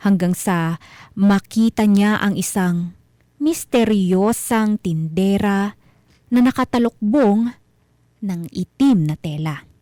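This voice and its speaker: A Filipino woman narrates eerie tales as a radio storyteller. Her voice is mellow and very dry in a close studio sound, and she takes her time with deliberate, dramatic phrasing. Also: medium pitch, no room sound. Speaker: host and narrator of a radio mystery show. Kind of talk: scripted horror storytelling.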